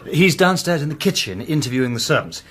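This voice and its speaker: A man's voice: on the high side, very animated, quick pace, a bit bright, breathy edge, exaggerated voice. A man playing a polite, loyal young companion in a radio drama, mild and slightly hesitant in manner. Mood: soothing, polite. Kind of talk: British radio drama acting.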